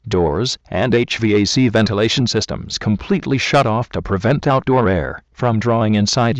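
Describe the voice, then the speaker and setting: A lowish male voice reads an official emergency alert in a formal, impersonal way. The delivery sounds synthetic and scripted. The audio is dry and muffled, with a lo-fi broadcast dullness. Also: no room sound.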